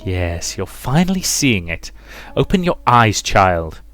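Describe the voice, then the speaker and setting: A man's voice: lowish voice, very animated, slow pace, fairly bright tone, heavily acted. A man voicing a vengeful, hysterical dead mother who rants harshly in a dramatic story reading, with music behind him. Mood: scornful, urging. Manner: harsh, accusing, ranting.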